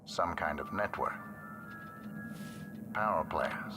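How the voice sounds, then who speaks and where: An actor playing a cold professional assassin in a video game, speaking in clipped, deadpan, understated phrases. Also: male voice, low voice, slow, over music. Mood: cold, terse. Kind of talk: cinematic video game voice acting. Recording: loud background ambience noise.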